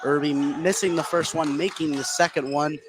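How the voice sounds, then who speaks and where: A man doing live play-by-play basketball commentary for radio, getting excitable on big plays. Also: a little high, normal speed. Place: indoor gymnasium during a game. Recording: noisy live gym broadcast.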